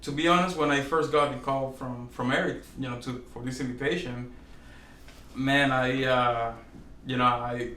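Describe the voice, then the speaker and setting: A man's voice: mid-range voice, fairly even intonation, normal speed, sharp tone. A young man from Venezuela, a musician, talking eagerly in an interview and often restarting his sentences. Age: young adult. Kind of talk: casual interview chat. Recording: roomy distant mic with faint noise.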